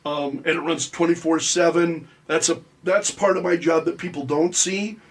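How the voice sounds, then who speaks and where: A man's voice: on the high side, fairly even intonation, moderate pace. A middle-aged man, a radio talk show host, speaking conversationally as an interview guest and explaining his work in a self-deprecating way.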